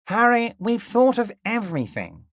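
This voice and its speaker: An actor voicing a jovial, scheming middle-aged union boss in a video game, smooth and ingratiating, dropping low and soft on pet names, crude at times. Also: male voice, very animated, normal speed, dry recording, clean studio sound, full character voice. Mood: smug, ingratiating. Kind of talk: video game character dialogue.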